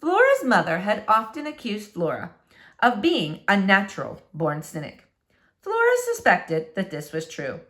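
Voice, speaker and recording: A woman reading a children's book aloud as a storyteller, with expressive, dramatic emphasis. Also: mid-range voice, a little slow.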